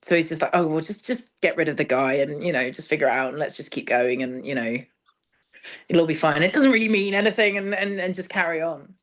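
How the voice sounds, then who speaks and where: A woman's voice: sits low, fairly quick. A woman speaking as a theatre director in a casual interview, thoughtful and discursive, letting phrases trail as she explains. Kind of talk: casual interview chat about stage work.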